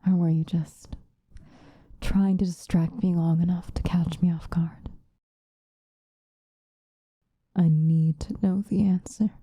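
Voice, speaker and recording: A woman playing an obsessive, possessive lovesick woman in an intimate, whispered ASMR roleplay, with a breathy voice. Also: on the low side, warm tone, no echo, dull audio. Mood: hurt, searching. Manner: slow, intimate, pleading.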